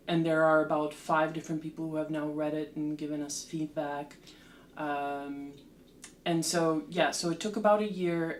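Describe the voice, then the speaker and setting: Woman, unhurried delivery, mid-pitched, faint hum behind, thoughtful interview guest. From Slovakia. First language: Slovak. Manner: measured, with frequent ums and restarts. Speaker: translator and lecturer, guest. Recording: roomy echo with faint background hum.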